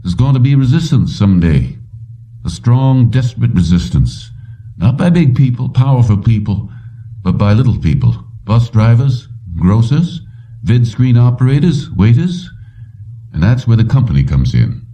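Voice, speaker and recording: A man's voice: medium pitch, big pitch swings, normal speed, mellow. A man reading an audiobook, voicing an earnest, resolute older father who owns a company. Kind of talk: audiobook character dialogue. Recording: slightly distant, dull lo-fi recording.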